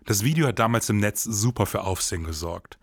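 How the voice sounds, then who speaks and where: A man with a fairly low voice co-hosts an investigative podcast. He delivers scripted dialogue and narration, recorded close and dry. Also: animated, a little fast, husky, dry recording.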